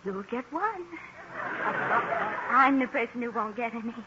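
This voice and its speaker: Coy shop clerk in love, high voice, gushy hints, muffled old radio sound. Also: female voice, lively intonation, measured pace. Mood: coyly flirting. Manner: gushing, coy, hinting. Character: lovestruck, coy department store saleswoman. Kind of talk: 1940s radio sitcom acting. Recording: noisy, dull old 1940s radio recording.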